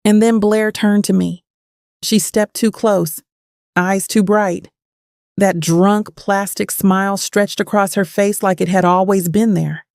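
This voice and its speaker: A haunted thirty-something woman played by an actress tells her own story of old wounds. Her voice sits at a middling pitch with a gravelly fry, recorded close and dry. She is bitter and brooding, in the manner of an audio thriller. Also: fairly even intonation, slow, darkish tone, no room sound. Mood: uneasy. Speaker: narrator and lead of an audio drama. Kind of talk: first-person dramatic scripted narration.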